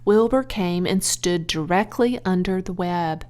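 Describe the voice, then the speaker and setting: A woman reading a children's audiobook aloud as an expressive storyteller. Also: lowish voice, measured pace. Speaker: audiobook reader.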